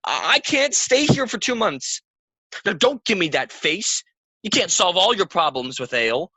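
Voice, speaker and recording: A man's voice: sits high, big pitch swings, moderate pace, bright, forceful tone, breathy edge, no echo, heavily acted. A man acting a restless, defiant theatre player in an audio play, agitated, bitter and argumentative. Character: restless, defiant, hot-tempered young male theatre player.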